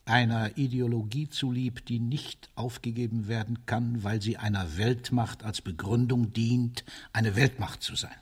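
World-weary, cynical military ruler. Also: male voice, mid-range voice, fairly even intonation, slightly rough. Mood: brooding, resigned. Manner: bitter, deliberate, rhetorical. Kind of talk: theatrical radio drama monologue.

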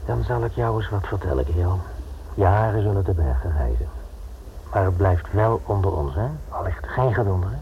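An actor playing a gruff, desperate small-time crook in a radio play, wary and streetwise in his talk. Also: low-pitched, lively intonation, normal speed. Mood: wary, confiding. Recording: muffled, noisy old radio recording.

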